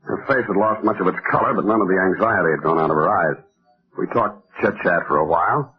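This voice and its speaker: Male actor as hard-boiled private detective: lowish voice, dry and world-weary, old radio-serial delivery over a narrow, tinny recording. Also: brisk, lightly acted. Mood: somber, reflective. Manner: wry deadpan, hard-boiled. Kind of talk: 1940s radio detective drama narration.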